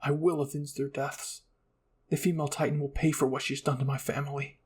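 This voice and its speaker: Wounded young girl fighter voiced by a man, husky, anguished, determined, dramatic inner monologue. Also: fairly high pitch, little pitch movement, a little fast. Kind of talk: first-person inner monologue, ASMR fan fiction.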